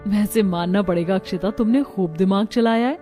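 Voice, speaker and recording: A woman's voice: medium pitch, moderate pace, mellow, lightly acted. Woman acting a cartoon family woman, music behind. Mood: earnest. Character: women of a cartoon family, mother-in-law and daughter-in-law. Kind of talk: acted cartoon story dialogue.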